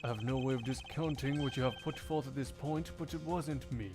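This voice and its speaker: A man voicing a composed male prosecutor in a video game, measured and stiffly courteous. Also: mid-range voice, darkish tone, faint music behind, natural voice. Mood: composed defiance.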